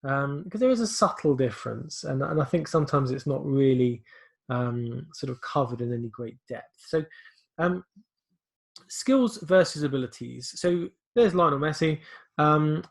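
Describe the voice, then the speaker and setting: A man's voice: a little high, animated, normal speed, creaky. Hesitant man teaching online. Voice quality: loud voice. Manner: hesitant, many fillers and restarts. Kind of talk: online class briefing.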